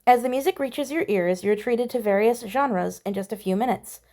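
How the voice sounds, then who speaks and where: A woman with a fairly high voice and a noticeable creak. She chats casually through a game playthrough, reading text aloud and adding mild, playful exclamations. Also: moderate pace, mellow. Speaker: gamer voicing a playthrough.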